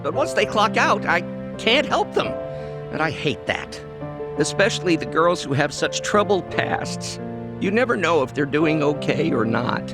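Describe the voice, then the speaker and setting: Male game character: caring, fretful employer, emotional and very animated, music behind. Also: fairly high pitch, a little slow. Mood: worried. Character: anxious, caring male boss fretting over his staff.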